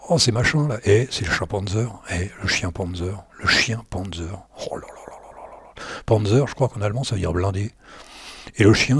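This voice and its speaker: A man's voice: fairly low pitch, breathy edge, no room sound. A man giving loose, slangy commentary over a screen recording, often repeating himself and joking at his own expense. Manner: rambling, repeats words, slangy and self-mocking. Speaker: tech blogger.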